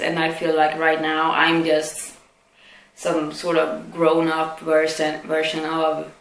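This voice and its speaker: A woman's voice: deep voice, vocal fry, fairly bright tone. A young adult woman from Sweden, chatting casually and candidly to camera as a self-deprecating vlogger. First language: Swedish. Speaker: vlogger answering viewer questions. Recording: echoey room with faint background noise.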